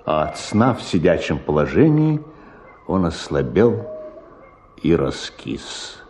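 A man narrating a literary story for radio in a measured, deliberate way. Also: sits low, big pitch swings, a little mellow, slight background noise.

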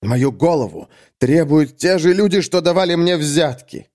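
A sardonic, self-satisfied male critic, acted by a man narrating an audiobook. He delivers pompous, articulate taunts with a widely swinging pitch. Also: expressive, normal speed, a little mellow, natural voice. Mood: contemptuous. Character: sardonic, smug, venal male art critic. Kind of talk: audiobook character acting.

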